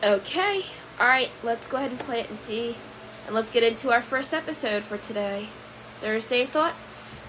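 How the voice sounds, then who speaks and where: A woman hosting a casual podcast, talking loosely and unhurriedly with frequent ums and pauses. Her voice sits a little high. There is clear background noise in the recording. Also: expressive. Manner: rambling with many ums, easygoing. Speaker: podcast host introducing a video. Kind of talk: casual chat.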